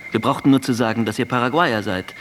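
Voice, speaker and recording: An actor playing a blunt, cynical army captain in a radio drama, with a matter-of-fact delivery. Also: male voice, mid-range voice, quick pace, fairly bright tone, slight background noise, lightly acted. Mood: smug, matter-of-fact.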